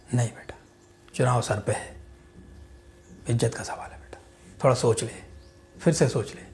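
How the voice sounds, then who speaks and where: Guy doing a middle-aged politician dad, slightly high voice, hearty then quiet and cold, dramatic monologue. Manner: booming and jovial with party workers, dropping to a hushed, cold undertone with family.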